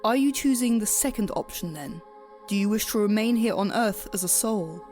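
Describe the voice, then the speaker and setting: A woman playing a composed, detached grim reaper in an audio drama, speaking with stiff, measured formality. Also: mid-range voice, darkish tone, soft background music, close to an everyday voice.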